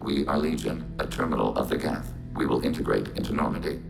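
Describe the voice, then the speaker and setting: A calm synthetic machine intelligence, that speaks as many minds at once in a flat, even drone. The voice sits extremely low and is heavily gravelly. A score plays clearly behind it in this game soundtrack. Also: male voice, fast talker, over music, full character voice. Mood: calm, literal. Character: Geth machine platform, calm, collective, literal. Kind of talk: video game cutscene acting.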